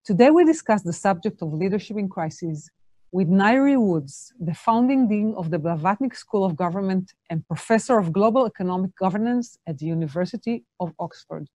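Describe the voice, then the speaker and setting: A woman's voice: mid-range voice, animated, fairly quick, dark tone, dry recording. A woman hosting an interview, asking questions hesitantly with many fillers.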